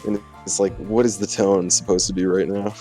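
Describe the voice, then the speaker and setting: A man's voice: sits low, level tone, fairly quick, warm tone, soft background music, low-quality recording. A young man chatting casually and jokingly with a friend as a let's play host, sweary and sardonic.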